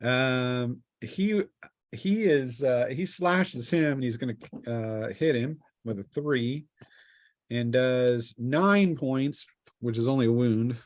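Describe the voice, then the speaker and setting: A man runs a tabletop role-playing session as game master. His medium-pitched voice moves casually between rules calls and vivid, improvised action. The sound is dry, with very little room in it. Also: takes it slowly, no echo. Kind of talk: narrating and refereeing a tabletop game.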